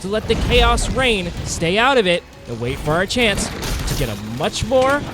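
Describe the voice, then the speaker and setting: A man's voice: sits high, big pitch swings, measured pace. A man telling the story of a video game hunt as a narrator, with dramatic, suspenseful phrasing. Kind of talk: dramatic storytelling narration over monster-hunting gameplay. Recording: music behind the voice.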